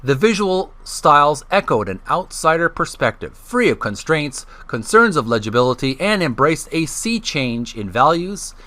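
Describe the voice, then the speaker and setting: A man hosting a video gaming channel, casually presenting and reading aloud in a halting, hesitant way. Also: a little high, big pitch swings, sharp tone, no echo. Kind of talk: casual vlog intro and reading aloud from a book.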